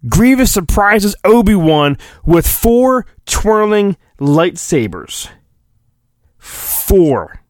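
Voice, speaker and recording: A man's voice: high-pitched, big pitch swings, extremely slow, no room sound, studio quality. A male podcast host giving a mock-dramatic storybook reading, with hammy emphasis and rambling joking asides. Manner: hammy, exaggerated emphasis, digressive.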